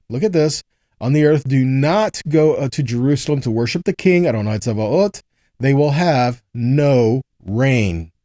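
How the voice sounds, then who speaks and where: A man's voice: on the high side, warm tone, no echo, clean studio sound. A man giving a scripture teaching, reading verses and explaining them in a didactic way, with short check-in questions. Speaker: Bible teacher.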